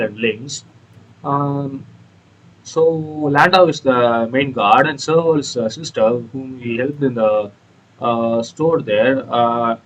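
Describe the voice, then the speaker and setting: A man's voice: mid-range voice, low-quality recording. A man giving casual, hesitant commentary on a video game walkthrough. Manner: halting, hesitant delivery. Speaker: gameplay YouTuber.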